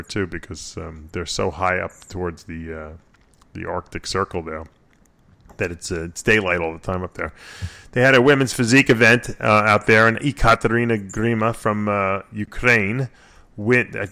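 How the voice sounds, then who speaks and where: Guy with a lowish voice hosting a chatty radio show, rambly and jokey, lots of uhs. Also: gravelly, bright, forceful tone. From Florida. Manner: rambling, joking, lots of fillers. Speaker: host. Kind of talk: casual radio show talk.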